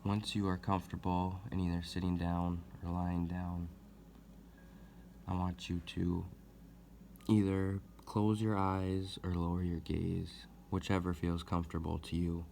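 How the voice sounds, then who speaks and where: A man leading a guided meditation as host, speaking gently and deliberately with long pauses. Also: low-pitched, level tone, gravelly, a little hiss or hum. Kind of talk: guided meditation, soothing instruction.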